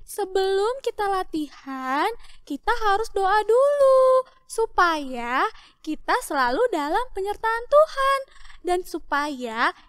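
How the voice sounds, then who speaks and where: A woman's voice: very high voice, fairly bright tone, no echo, exaggerated voice. Woman doing a bossy, chiding little girl. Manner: chiding, slow and emphatic. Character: diligent, scolding little girl. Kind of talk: children's story skit.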